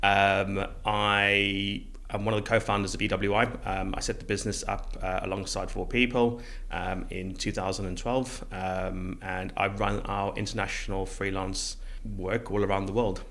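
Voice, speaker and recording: A man's voice: deep voice, flat delivery, moderate pace, a bit bright. A middle-aged man from South Africa speaking conversationally as the guest in a podcast interview. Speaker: interview guest, recruitment business co-founder.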